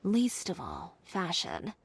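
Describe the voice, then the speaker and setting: An actress playing a confident, assertive young fashion designer in a video game, with a candid, wry, conversational delivery. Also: female voice, on the low side, wildly swooping pitch, slow, slightly rough, no echo, exaggerated voice. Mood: reflective, determined. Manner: self-assured, candid, conversational. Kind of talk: video game voice acting, story dialogue.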